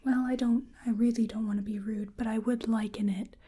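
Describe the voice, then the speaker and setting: A woman whispering softly and breathily in an ASMR roleplay as a polite, curious researcher doing a gentle exam. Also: fairly high pitch, fairly even intonation. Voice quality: breathy whisper and soft speech. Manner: gentle, hesitant, polite, unhurried.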